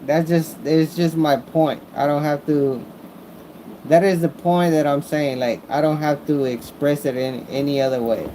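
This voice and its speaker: A man with an American accent talking casually as a vlogger, rambling through his opinions in a repetitive, halting way as he searches for words. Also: fairly high pitch, fairly even intonation, warm tone, a little hiss or hum, rough recording. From Mexican-American, born in the United States.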